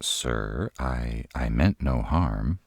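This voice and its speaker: A man's voice: rumbling low, expressive, drawn-out words, creaky, darkish tone, slightly rough, no echo, studio quality, heavily acted. A man playing a nervous, sarcastic male vampire in a roleplay audio, stammering and hesitant, easily exasperated. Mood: uneasy.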